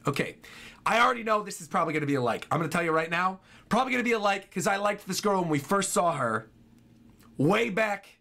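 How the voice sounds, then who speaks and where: A man's voice: sits high, sharp tone. A young man giving casual, enthusiastic reaction commentary as a streamer, often repeating his phrases. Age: young adult. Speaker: streamer reacting to game trailers. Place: small home room, indoors. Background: game trailer music.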